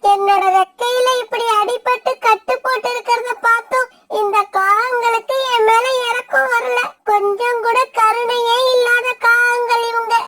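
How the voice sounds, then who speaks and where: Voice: extremely high pitch, level tone, unhurried, far from the mic, over a noisy crowd. A performer voicing a small injured female sparrow in a cartoon, speaking in a pleading, tearful way. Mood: hurt. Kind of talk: cartoon voice acting.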